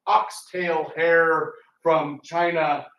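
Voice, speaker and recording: A man's voice: a little high, little pitch movement, very slow, creaky, fairly bright tone. A man speaking casually and ramblingly to an audience, telling stories with teasing asides. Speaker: radio theatre actor and panel speaker. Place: indoor talk in a roomy space. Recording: roomy, distant, noisy live room recording.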